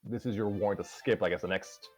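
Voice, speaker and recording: Lowish-voiced man reading haltingly. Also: fairly even intonation, faint music behind. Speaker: host and reader of the story. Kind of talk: casual story reading with asides.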